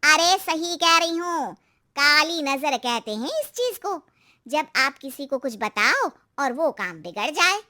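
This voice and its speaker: A woman voicing a knowing, superstitious neighbourhood auntie in a cartoon story, giving emphatic, drawn-out warnings. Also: sits high, sing-song, bright, forceful tone, exaggerated voice. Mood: ominous.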